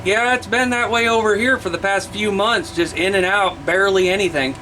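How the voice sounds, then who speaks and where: High-pitched American man amid loud radio noise. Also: fairly quick. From Iowa, United States. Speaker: CB radio operator. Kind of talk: casual CB radio contact talk. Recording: very noisy radio static and hum.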